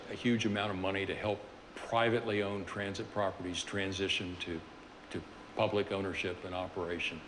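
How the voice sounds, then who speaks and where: An older man giving a university seminar talk, reflecting on his career in a halting way, full of "uh"s and restarts. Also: low voice, long reverb tail, slight background noise. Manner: halting, many fillers and restarts. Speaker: seminar speaker. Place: large university seminar room.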